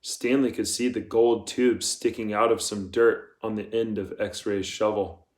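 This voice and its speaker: A man's voice: on the low side, little pitch movement, unhurried, echoey room. A man reading a children's novel aloud as scripted audiobook narration. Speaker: audiobook reader.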